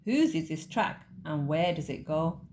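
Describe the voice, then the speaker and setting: A woman voicing the brave, curious young child of a storybook monster, calling out with high excitement. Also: unhurried, slight background noise. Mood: excited.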